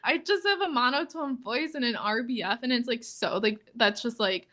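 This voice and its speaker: A young woman in her twenties co-hosting a podcast in casual chat, talking in a flat monotone and filling nearly every phrase with "like". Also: moderate pace. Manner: says "like" constantly, flat and low-key, laughs often. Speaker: podcast co-host.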